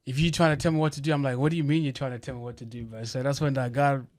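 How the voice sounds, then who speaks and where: A man's voice: mid-range voice, fairly even intonation, very fast, a little mellow. Young guy on a podcast, chill street talk, keeps saying you know what i mean, mid voice. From grew up in Uganda and Australia. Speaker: guest, event promoter. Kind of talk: casual podcast chat. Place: podcast studio.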